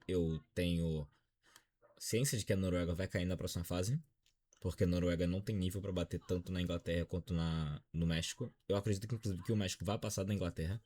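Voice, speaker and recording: A man's voice: sits low, darkish tone, breathy edge. A Brazilian man in his twenties with a Brazilian accent, giving a dejected, frank football opinion vlog. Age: 20s. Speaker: football commentator.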